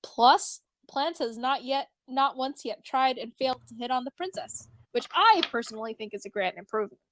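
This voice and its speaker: A woman's voice: sits high, normal speed, a bit bright, a little hiss or hum, low-quality recording. A woman playing a chatty, teasing young friend in an amateur fan fiction reading, with a wry, rambling delivery. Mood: teasing, deadpan.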